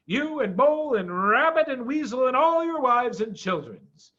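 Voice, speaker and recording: A man's voice: extremely high pitch, big pitch swings, normal speed, sharp tone, muffled recording, pitched above the performer's own voice, heavily acted. A man voicing a confident, generous, sly father fox in a children's storybook, with a grand, assured manner. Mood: jubilant, commanding. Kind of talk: storybook character voice.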